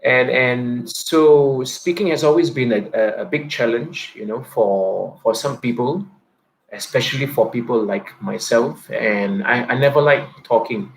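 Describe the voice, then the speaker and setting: Guy in his 30s with a stutter, halting, repeats words, quiet introvert talking to camera. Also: medium pitch, a little hiss or hum, low-quality recording. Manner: soft-spoken and introverted. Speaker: teacher. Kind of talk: personal reflective talk.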